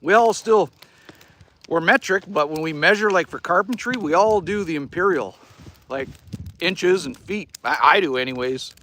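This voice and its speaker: Chatty Canadian man, bright voice. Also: fairly high pitch, normal speed, a little hiss or hum. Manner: chatty, folksy, joking. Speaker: livestream host. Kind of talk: casual live chat reading viewer comments. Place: cabin by a wood fire.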